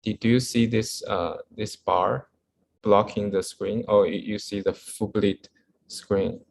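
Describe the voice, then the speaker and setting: A man's voice: lowish voice, steady tone, slow, vocal fry. A man from China with a Chinese accent gives an informal slide lecture in English as a second language, hesitating often as he searches for words. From Wuhan, China. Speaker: architect giving a guest lecture.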